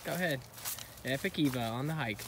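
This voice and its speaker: A man's voice: mid-range voice, a little fast. An American man in his thirties chatting and narrating casually as a playful, encouraging father on a family outing, recorded on a handheld phone. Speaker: father filming a family hike. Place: outdoors on a forest trail. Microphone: handheld phone camera. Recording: noisy outdoor phone recording.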